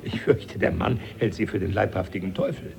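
Low-voiced, wry old professor. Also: male voice, a little fast, gravelly, crowd noise. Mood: wry, confiding. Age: older. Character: genial old professor, the hero's former teacher, dryly amused. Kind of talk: 1980s German radio play acting.

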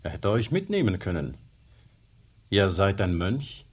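A man's voice: sits low, huge pitch swings, a little slow, a little mellow, husky, full character voice. A man playing a plain-spoken, resentful village worker in a radio play, with a blunt, grumbling manner. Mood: grumbling, curious.

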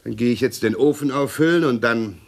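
An actor playing a weary, talkative, suspicious husband in a 1960s radio crime play. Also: male voice, mid-range voice, a little fast, gravelly. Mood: tired, suspicious.

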